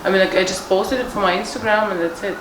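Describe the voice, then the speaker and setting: A woman's voice: mid-range voice, fast talker. A woman chatting casually as a panel guest, in loose, informal, repetitive phrasing. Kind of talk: casual unscripted chat. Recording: echoey room, distant mic, faint noise.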